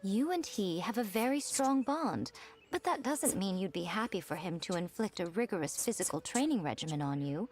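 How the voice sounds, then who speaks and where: A woman's voice: mid-range voice, animated, moderate pace, a little mellow, lightly acted. An actress playing a poised, worldly and playfully teasing woman with genteel phrasing in an anime fantasy game. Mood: teasing, instructive.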